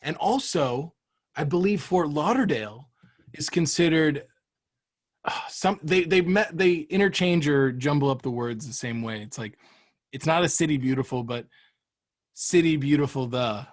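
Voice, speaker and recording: A man's voice: a little high, expressive, normal speed. An American man hosting a podcast in casual, comedic interview chat, with a joking, playful manner. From Florida, United States. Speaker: podcast host.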